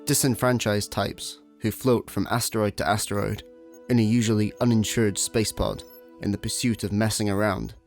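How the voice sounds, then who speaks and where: A Scottish man acting as the wry, deliberate narrator of a comic sci-fi radio play, deadpan and mock-grand. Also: lowish voice, close to an everyday voice. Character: wry, measured storyteller of a comic space adventure. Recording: music behind the voice.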